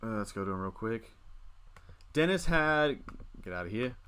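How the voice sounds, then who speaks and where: A man's voice: on the low side, expressive, normal speed, fairly bright tone, clean tone. A young man in his twenties hosting a casual live stream, rambling as he reads and answers the chat. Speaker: livestream host.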